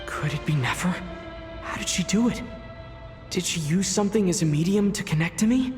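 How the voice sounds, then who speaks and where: An actor playing an earnest, wistful young wandering hero in a video game, speaking with sincerity. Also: male voice, high-pitched, brisk, a little mellow, soft background music, natural voice. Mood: confused, alarmed.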